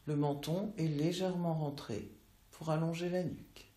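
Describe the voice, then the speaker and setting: A woman teaching yoga nidra, guiding relaxation in measured instructional phrases with long pauses. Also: deep voice, steady tone, dark tone. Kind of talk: slow guided relaxation meditation. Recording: roomy, echoey voice recording.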